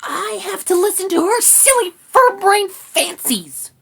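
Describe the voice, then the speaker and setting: Tiny female storybook rat, very high squeaky voice, indignant, snappy, wildly swooping pitch. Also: sing-song, slow pace, a bit bright, heavily acted. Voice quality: shrill, squeaky. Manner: snappy, scolding. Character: frantic, indignant, hissing little female rat.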